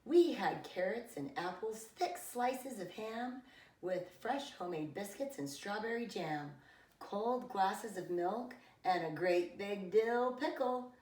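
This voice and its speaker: Slow-paced woman reading a storybook, roomy echo. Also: mid-range voice, expressive, a little mellow, distant mic. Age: middle-aged. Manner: slow, deliberate phrasing with dramatic emphasis for young children. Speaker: preschool teacher reading a picture book aloud. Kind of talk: expressive children's storybook read-aloud. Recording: roomy echo, away from the mic.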